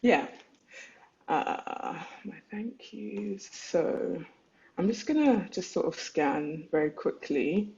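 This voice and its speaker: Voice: mid-range voice, lively intonation, slow pace, gravelly, a little mellow, lo-fi sound. A lecturer giving an online talk about their own thesis, thinking aloud, searching for words, and reading their own letters aloud. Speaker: lecturer and artist.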